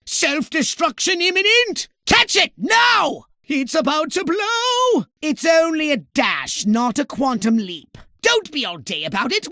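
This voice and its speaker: An actor voicing a fussy, haughty, scheming alien scientist commander for a video game, with a pedantic, sardonic, theatrical delivery. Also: male voice, extremely high pitch, pushed and bright, no echo, clean studio sound, exaggerated voice. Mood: urgent, shouting.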